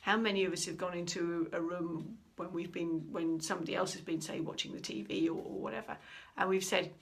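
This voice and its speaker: Animated woman giving a communication talk. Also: on the low side, brisk, creaky. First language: English. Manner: animated storytelling, acting out quoted speech. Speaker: communication trainer. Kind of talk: instructional talk.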